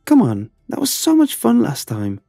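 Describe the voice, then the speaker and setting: A man voicing an excited young boy in a spoken horror story. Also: high-pitched, moderate pace, vocal fry, no echo, studio quality, soft background music, pitched above the performer's own voice, heavily acted.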